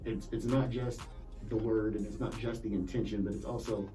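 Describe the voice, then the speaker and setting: Podcast panelist, male, lowish voice, thoughtful casual talk, mic set back with faint music. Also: brisk, distant mic. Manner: relaxed, thoughtful, repeats words as he builds a point.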